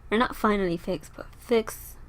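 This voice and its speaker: A young woman with a slightly raspy, tired voice chatting casually as she plays a life-sim game, rambling and talking to her characters. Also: mid-range voice, moderate pace. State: tired, sore throat. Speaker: gameplay video host. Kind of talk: casual gameplay commentary.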